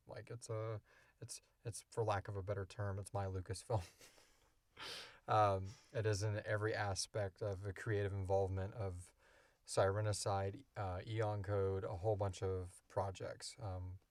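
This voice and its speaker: A man with a fairly low voice, recorded dry and close. He talks like a podcast host in a casual chat, rambling enthusiastically and joking as he goes. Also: darkish tone, dry recording.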